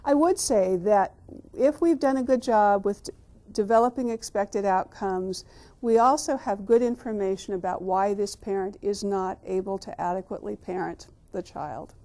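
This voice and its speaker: Soft, mellow-voiced woman co-presenter, quiet, sticks close to her notes. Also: mid-range voice, moderate pace. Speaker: training co-presenter. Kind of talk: presenting a court training session.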